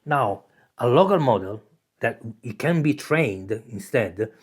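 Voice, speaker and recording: A man's voice: medium pitch, expressive, a little slow, husky. A man from Italy with an Italian accent, hosting his own channel and talking through ideas in a loose monologue, restarting phrases and often checking in with "okay?". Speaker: channel host. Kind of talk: casual explanatory monologue.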